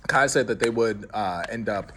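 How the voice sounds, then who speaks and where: A man directing a rehearsal in a theater, giving script notes in a casual, halting way with many restarts. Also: on the low side. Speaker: director and playwright. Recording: noisy room.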